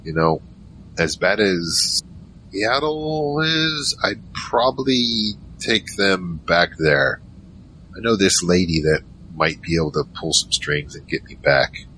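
Casting: male actor for wry, world-worn male narrator of an audio drama, extremely low and bright-edged, casual delivery. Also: animated, slow pace, slight background noise, exaggerated voice, rough recording. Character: Michael, weary wry male narrator searching for his family. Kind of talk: first-person audio drama narration and dialogue.

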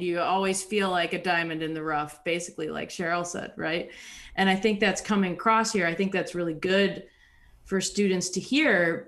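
An easygoing woman is interviewing a guest. She asks thoughtful questions in a conversational, relaxed way. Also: medium pitch.

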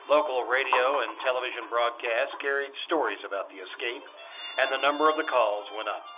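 A man playing a calm, businesslike police detective in a 1950s-style radio drama, clipped, deadpan and matter-of-fact. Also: mid-range voice, natural voice. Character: calm, businesslike male police detective narrating his case. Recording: dull radio-play recording with music.

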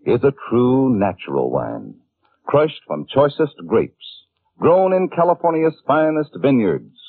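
A man with an American accent announcing a 1940s radio commercial in a polished, emphatic sales delivery. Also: fairly low pitch. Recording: muffled tinny vintage radio recording.

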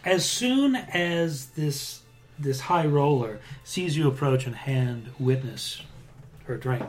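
A man acting as game master, calmly describing scenes and calling for rolls. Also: mid-range voice, takes it slowly, slight background noise. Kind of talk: running a tabletop spy game.

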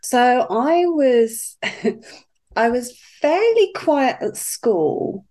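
A woman's voice: high-pitched, very animated, very slow, mellow. A British woman talking casually as a podcast guest, chatty and easygoing. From United Kingdom. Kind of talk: casual interview chat.

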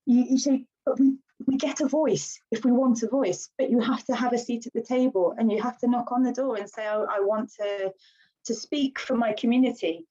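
Earnest, animated woman on a podcast. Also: fairly high pitch, moderate pace. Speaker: guest, village councillor. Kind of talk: casual podcast conversation. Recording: slightly dull lo-fi sound.

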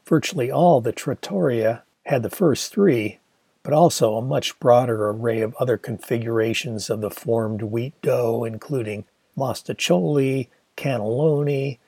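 A man's voice: medium pitch, unhurried, gravelly, dark tone, husky, no room sound. A man in his sixties from Michigan, reading his own memoir aloud in a wry, conversational storytelling manner. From Michigan, USA. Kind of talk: audiobook narration.

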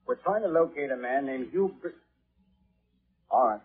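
An actor playing a brisk, businesslike police sergeant in a 1950s radio drama, direct and official. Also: male voice, medium pitch, expressive, slightly rough. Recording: muffled, tinny vintage radio recording.

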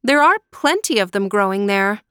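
A woman's voice: mid-range voice, big pitch swings, moderate pace, fairly bright tone, clean tone, dry recording, studio quality. A woman voicing a meek, polite young girl who speaks in an earnest, pleading way in a storybook.

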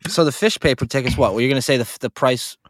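A man co-hosting a casual chat, mostly backing up his partner with quick agreement and wry quips. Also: medium pitch, no room sound, clean studio sound. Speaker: podcast co-host.

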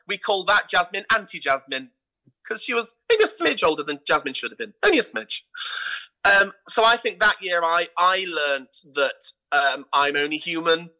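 Bright, sharp-voiced theatrical man telling stories. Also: fairly high pitch, rough recording. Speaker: guest, theatre producer and pantomime dame. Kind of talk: conversational interview anecdotes.

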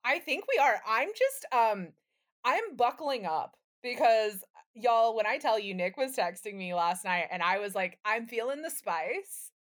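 A woman's voice: a little high, lively intonation, unhurried, fairly bright tone. A woman co-hosting a podcast in casual, slangy chat, irreverent and freely swearing. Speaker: podcast co-host.

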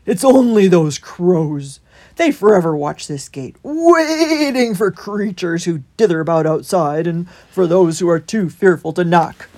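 A woman's voice: on the low side, animated, unhurried, darkish tone. A woman voicing a kindly, reassuring male hedgehog gatekeeper in a children's story.

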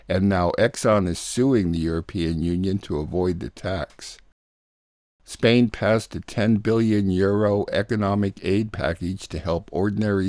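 A man's voice: fairly low pitch, dark tone, no room sound. A man reading a scripted introduction as the host of a radio news show. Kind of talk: scripted narration, program introduction.

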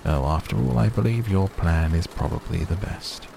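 A man voicing a grave old wizard in a story reading, watchful and quietly commanding, measured and thoughtful, on a noisy recording. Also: low-pitched, gravelly.

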